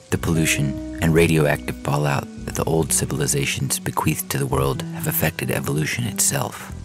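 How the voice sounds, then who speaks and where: Very deep, gravelly man reading science fiction. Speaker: fiction podcast narrator. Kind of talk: scripted first-person science fiction story reading. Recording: music bed under the reading. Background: music.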